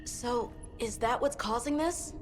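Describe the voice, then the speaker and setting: A woman's voice: on the high side, unhurried, music in the background, close to an everyday voice. A woman playing an eager, curious youngster in a video game, informal and a little tentative. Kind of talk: video game character acting.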